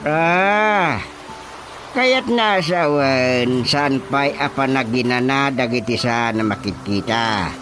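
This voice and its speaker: An actor playing a village chairman and folk healer giving ritual instructions in a radio drama, deliberate, with drawn-out hums and an Ilocano accent. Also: medium pitch, expressive. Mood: instructing. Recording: faint music behind the voice.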